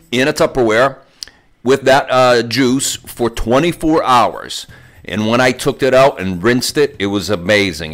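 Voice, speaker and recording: A man's voice: medium pitch, fairly bright tone. Casual man narrating cooking, music behind. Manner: informal, conversational, describes steps as they go. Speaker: podcast host cooking along.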